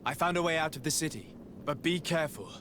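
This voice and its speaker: An actor playing a resolute noble envoy in a game, composed, formal and authoritative. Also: male voice, fairly high pitch, fast, background noise, lightly acted. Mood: reassuring, practical.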